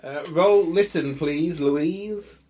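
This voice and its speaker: Higher-pitched man running a horror tabletop game. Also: slow pace, darkish tone. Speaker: game master.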